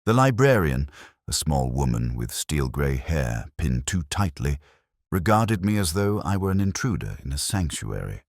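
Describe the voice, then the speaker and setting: Very deep, solemn male story narrator. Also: a little mellow, dry recording, studio quality. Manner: solemn, literary, measured phrasing. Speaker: audio drama narrator. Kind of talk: scripted first-person detective story narration.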